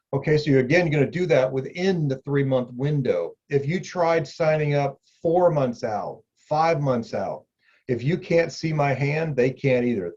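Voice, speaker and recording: A man's voice: medium pitch, darkish tone, husky, dull audio. Chatty middle-aged man explaining benefits. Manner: conversational, repeats key points, addresses viewer directly. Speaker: insurance agent explaining benefits. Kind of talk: explainer video talk.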